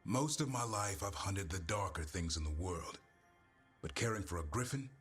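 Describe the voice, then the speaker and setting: A man playing a gruff, stoic but caring warrior and creature keeper in a video game cutscene, terse and dryly humorous. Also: low voice, expressive, moderate pace, fairly bright tone, full character voice. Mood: reflective, uneasy. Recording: music behind.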